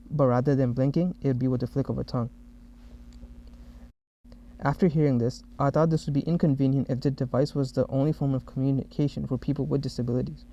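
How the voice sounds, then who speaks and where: A man narrating a software demo as the developer who made it, explaining each step. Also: medium pitch. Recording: dull, muffled lo-fi audio.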